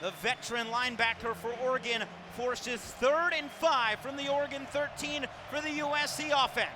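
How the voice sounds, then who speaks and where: A man's voice: very high-pitched, steady tone, normal speed. A man calling football play-by-play for radio in clipped, descriptive phrases, projecting his voice like a sports announcer. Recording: loud stadium ambience behind the voice. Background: stadium noise.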